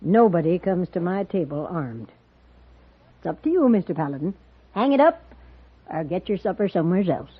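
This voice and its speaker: An actress playing a plainspoken, bossy but hospitable frontier boardinghouse matron with a rural Western American accent in a radio drama. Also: female voice, lowish voice, very animated, normal speed, long pauses, slightly rough. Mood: firm. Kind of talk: 1950s radio drama acting. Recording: muffled vintage radio recording.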